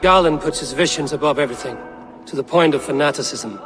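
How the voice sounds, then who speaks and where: Actor as a blunt, higher-voiced Viking raider. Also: male voice, fast, close to an everyday voice. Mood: matter-of-fact. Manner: terse, direct, questioning. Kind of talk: video game character acting.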